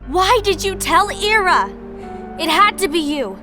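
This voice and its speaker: A woman's voice: very high voice, lively intonation, a little slow, bright, forceful tone, heavily acted. A woman playing an earnest, distressed young peacemaker in a dramatic video game cutscene, with emotional delivery. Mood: accusing. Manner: pleading, emotional. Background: music.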